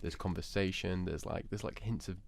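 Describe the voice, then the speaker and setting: Gravelly, low-voiced man arguing his pick. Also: fairly quick, slight background noise. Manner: emphatic, repeats phrases for effect. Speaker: podcast debate panellist. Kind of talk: casual opinionated chat.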